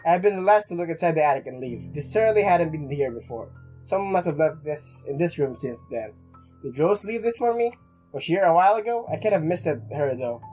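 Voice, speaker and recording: Game music plays under a let's player with a mid-pitched voice. The player reads a visual novel aloud dramatically, often tripping over words, and adds casual reactions. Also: animated, brisk, mellow, rough recording. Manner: stumbling, dramatic read-aloud.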